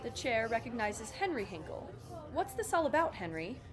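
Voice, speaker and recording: An actress playing a formal, officious school superintendent chairing a meeting in an audio drama. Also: female voice, sing-song, normal speed, faint music behind. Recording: faint noise with low rumble.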